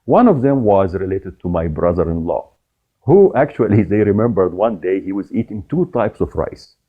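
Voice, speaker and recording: A middle-aged man from Egypt hosting a show, telling a personal story in an animated way with laughs along the way. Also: deep voice, normal speed, husky, phone-quality sound. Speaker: podcast host. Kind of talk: storytelling monologue.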